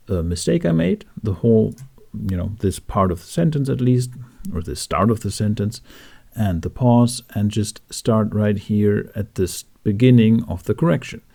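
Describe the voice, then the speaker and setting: A man narrating a how-to tutorial, explaining things step by step with deliberate phrasing and pauses. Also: on the low side, expressive, gravelly, mellow. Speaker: tutorial host. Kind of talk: instructional narration for a how-to video.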